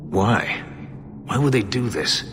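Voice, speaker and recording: A man's voice: medium pitch, expressive, slow pace, a bit bright, soft background music. An actor playing a bitter, brooding male elf who escaped slavery, speaking grimly and formally in a video game. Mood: stern. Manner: grim, terse, formal phrasing.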